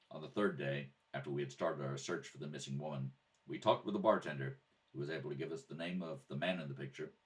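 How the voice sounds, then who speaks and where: A man playing a terse, matter-of-fact police detective in a 1950s-style radio crime drama. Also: rumbling low. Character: terse, businesslike male police detective narrating his case. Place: at a kitchen table. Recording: slightly distant home microphone.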